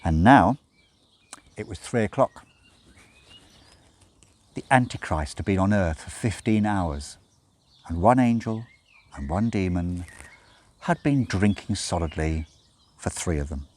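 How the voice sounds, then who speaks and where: A man's voice: sits low, lively intonation, unhurried, long pauses, a little mellow. A man reading a story aloud as scripted narration, with wry, dramatic emphasis. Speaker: audiobook reader.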